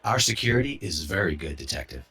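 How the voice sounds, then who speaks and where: An actor playing a guarded, evasive company employee in a video game. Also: male voice, low voice, big pitch swings, fast talker, pushed and bright, husky, heavily acted. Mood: defensive. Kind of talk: video game dialogue acting.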